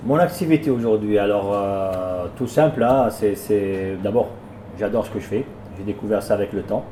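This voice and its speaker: Hesitant man, mellow voice, echoey room. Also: lowish voice, moderate pace, slight background noise. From France. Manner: hesitant, repeats words often, warm and plain. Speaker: restaurant owner. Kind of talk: casual interview answers.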